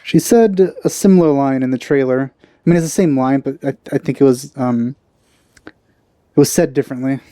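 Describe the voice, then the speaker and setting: A man hosts a casual gameplay commentary. His voice is mid-pitched, soft-edged and dark in tone. He muses in an understated, tentative way. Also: normal speed, dry recording. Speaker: let's play host.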